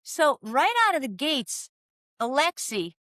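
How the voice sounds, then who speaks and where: A woman in her sixties from California, a former cycling soigneur telling animated stories in an interview and acting out the dialogue. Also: sits high, slow pace, no echo, studio quality. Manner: lively, acts out quoted dialogue.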